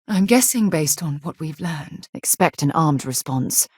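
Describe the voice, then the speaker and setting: An actress playing an earnest, principled woman space explorer in a video game, speaking in an articulate, expressive way. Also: sits low, measured pace, slightly rough, no echo, studio quality, exaggerated voice. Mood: composed, thoughtful. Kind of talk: video game character dialogue.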